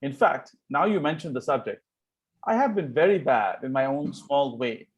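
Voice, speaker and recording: A man reading a flippant, charming young gentleman in a Victorian comedy table read, playful with formal phrasing. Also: mid-range voice, lively intonation, normal speed, close to an everyday voice.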